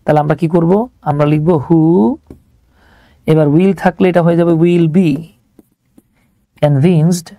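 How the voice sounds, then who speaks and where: Higher-pitched Bangladeshi man teaching a lesson. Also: fairly even intonation, takes it slowly, pauses often, mellow, dry recording. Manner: informal, chatty, asks and answers own questions. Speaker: English grammar teacher. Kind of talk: live online lesson, explaining step by step to students.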